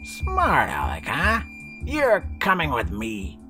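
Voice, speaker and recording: A man's voice: mid-range voice, huge pitch swings, takes it slowly, music in the background, pitched above the performer's own voice. A man voicing a menacing, toothless old jungle hermit with a cracking, hissing voice, snarling his threats in an audiobook. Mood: sneering. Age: older. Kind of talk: audiobook character voice.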